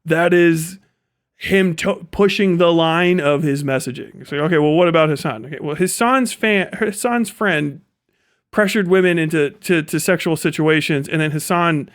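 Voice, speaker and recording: A man's voice: a little high, normal speed. A man giving off-the-cuff livestream commentary in a blunt, profane, sardonic way. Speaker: live streamer.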